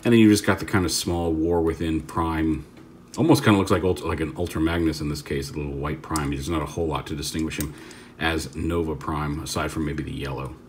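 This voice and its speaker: A man with a deep, low voice talks through a toy review in a relaxed, off-the-cuff way. He rambles and corrects himself mid-sentence while he fiddles with the piece on a tabletop. A faint hiss sits under his voice. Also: brisk. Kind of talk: casual hands-on product demo.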